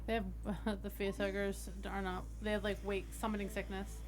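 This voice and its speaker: A woman's voice: medium pitch, level tone, normal speed, over music. A woman playing a tabletop roleplaying game, talking casually at the table. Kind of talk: casual chat during game play.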